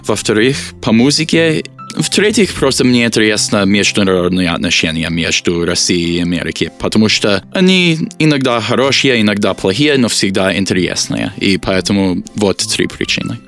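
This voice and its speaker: An American man, a teacher whose first language is English, answering interview questions in a halting way with many fillers. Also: on the low side, expressive, fairly bright tone, soft background music. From United States. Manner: hesitant, many fillers, searches for words. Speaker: guest, teacher.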